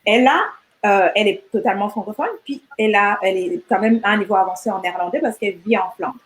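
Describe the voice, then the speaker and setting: A woman's voice: mid-range voice, quick pace, rough recording. Middle-aged woman from rwanda, interview guest, chatty, keeps restarting and repeating words. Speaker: guest entrepreneur. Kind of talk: casual interview chat.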